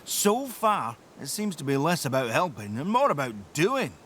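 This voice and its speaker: A man's voice: a little high, sing-song, moderate pace, a bit bright, a little hiss or hum, pitched above the performer's own voice. A man voicing a wry, grumbling helper character in a fantasy audio drama, with a dry, complaining delivery.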